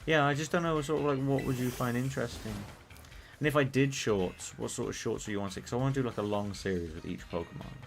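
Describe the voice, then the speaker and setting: A British man streaming a game, chatting casually in a rambling, profane way that he calls monotone. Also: mid-range voice, quick pace, creaky. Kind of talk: casual live-stream chat while gaming.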